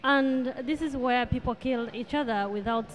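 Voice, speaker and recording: A woman, a guest on a talk show, answering earnestly in a halting way with pauses. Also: a little high, rough recording. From Rwanda. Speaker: guest, charity president. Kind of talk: interview answers.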